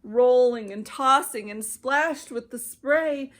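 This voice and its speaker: An American woman reading a rhyming children's storybook aloud as an expressive narrator. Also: high-pitched, takes it slowly. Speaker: children's ministry director reading stories.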